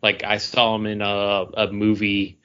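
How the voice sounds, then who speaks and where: Low-voiced man, muffled call audio. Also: completely flat delivery, measured pace, bright, forceful tone. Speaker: podcast co-host. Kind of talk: casual movie and TV review chat. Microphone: internet voice call.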